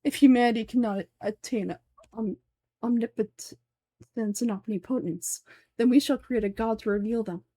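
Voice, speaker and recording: A woman's voice: fairly high pitch, moderate pace, dark tone, no room sound, close to an everyday voice. A woman voicing a pompous, fanatical older male sage from a game, speaking formally and in declamatory fashion. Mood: fervent. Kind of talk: game dialogue dub.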